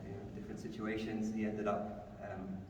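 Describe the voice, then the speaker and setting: Male event host, lowish voice, halting informal delivery, long hall reverb with faint noise. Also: monotone, a little mellow. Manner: halting, repeating and rephrasing phrases. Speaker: event host introducing and interviewing a guest. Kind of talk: informal spoken introduction and interview. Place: large echoey room in a mosque. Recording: echoey hall, distant mic, faint noise.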